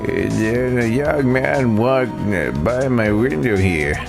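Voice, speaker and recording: Old video game codger, played by a man: gravelly, slow, folksy and muddled, music underneath. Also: mid-range voice. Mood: rambling. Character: doddering, rambling old man running a noodle shop. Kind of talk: hammy video game voice-over.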